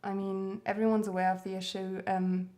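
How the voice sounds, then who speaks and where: Young woman, from Germany, interview guest, candid, relaxed, laughs easily, medium pitch. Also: normal speed. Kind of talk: casual interview chat.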